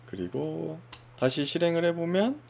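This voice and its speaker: A man casually walking through a software tutorial step by step, pointing things out as he goes. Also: on the high side, animated, moderate pace, creaky. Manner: casual step-by-step explaining with fillers. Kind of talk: screen-recorded software walkthrough.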